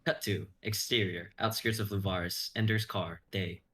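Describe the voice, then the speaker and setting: A man's voice: fairly low pitch, fairly even intonation, moderate pace, a bit bright. A young man reading scripted narration and stage directions as narrator. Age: young adult.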